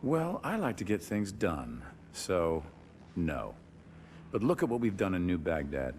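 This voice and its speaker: A commanding corporate warlord. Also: male voice, low-pitched, big pitch swings, a little mellow, a little hiss or hum, exaggerated voice. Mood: assured. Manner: confident, commanding, deliberate. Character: commanding older male corporate military founder. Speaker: military corporation CEO. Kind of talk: video game cutscene acting.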